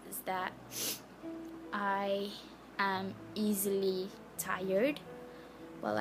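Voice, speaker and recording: A young woman of nineteen with a Malaysian English accent, her first language Malay, chats casually to the camera. She speaks in a mid-range voice, pausing and restarting often, with clear music playing behind her. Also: mid-range voice, poor audio. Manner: hesitant, chatty, apologetic, with many restarts. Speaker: vlogger sharing her cancer story. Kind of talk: casual personal vlog. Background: background music.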